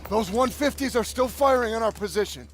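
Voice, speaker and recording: An actor playing a hard, unbending army sergeant, forceful and defiant, in a war game cutscene. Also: male voice, very high-pitched, lively intonation, fairly quick, background noise. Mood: bitter, insistent. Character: hard, unbending male army sergeant defending his orders. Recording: clear music under the voice.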